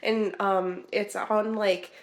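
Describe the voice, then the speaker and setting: A woman's voice: mid-range voice, little pitch movement, takes it slowly. A woman chatting casually in a crochet vlog, sounding congested and a little nasal from a sinus infection, rambling with frequent ums. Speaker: crochet vlogger.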